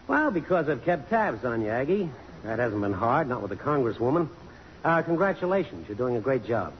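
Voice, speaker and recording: A man's voice: medium pitch, very animated, a little fast, natural voice. Casting: male actor for brash, insistent ex-lover with glib patter, 1950s radio play, reverberant and faintly noisy. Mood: needling, flirtatious. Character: brash, teasing male photographer and old flame. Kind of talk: 1950s radio drama acting. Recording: dull, reverberant, noisy old radio broadcast.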